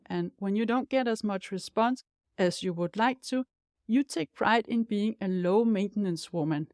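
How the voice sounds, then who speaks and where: A woman's voice: mid-range voice, a little mellow, dry recording, studio quality. A woman in her fifties from Denmark with a Danish accent, hosting her podcast as a life coach and speaking straight to the listener in an upbeat, encouraging way. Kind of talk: scripted podcast monologue.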